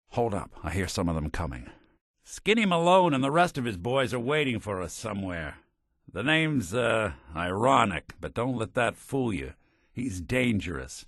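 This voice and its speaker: An actor voicing a world-weary android private detective in a video game, with an American accent and a wry, streetwise, old-fashioned noir delivery. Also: male voice, medium pitch, fairly bright tone, no echo, studio quality, exaggerated voice. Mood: cautious, businesslike. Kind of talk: video game voice acting in 1940s film noir detective manner.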